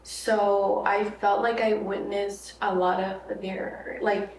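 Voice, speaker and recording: A woman's voice: medium pitch, flat delivery, slow pace, a little mellow. A young woman born in Mexico, a native Spanish speaker, chatting as a podcast guest, rambling with many fillers and restarts. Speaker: podcast guest, content creator. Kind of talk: casual interview conversation. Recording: echoey room, mic at a distance, faint noise.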